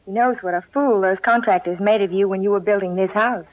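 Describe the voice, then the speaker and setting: A dry, skeptical sitcom wife played by a woman in a vintage radio comedy. She teases with deadpan sarcasm at a medium pitch. The sound is dull and old. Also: fairly quick, no room sound, lightly acted. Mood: reproachful. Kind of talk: 1940s-1950s radio comedy acting. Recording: muffled old radio recording.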